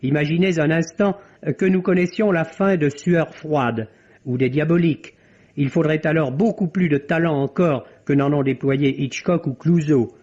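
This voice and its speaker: A man's voice: fairly high pitch, normal speed, darkish tone. A man delivering a film review as a critic on the radio. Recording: dull vintage French radio broadcast.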